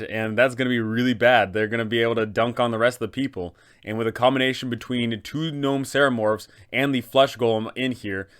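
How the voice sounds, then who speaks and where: Male host for tabletop game advice: enthusiastic, punchy delivery at an average pitch, stressing key phrases. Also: a bit bright, clean tone. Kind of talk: enthusiastic scripted explainer for game masters.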